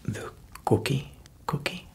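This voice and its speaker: A man in an ASMR roleplay voicing a proud male baker who whispers slowly, chuckles softly and fumbles for words as if foreign. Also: lightly acted. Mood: playful. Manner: whispered, slow, with soft chuckles.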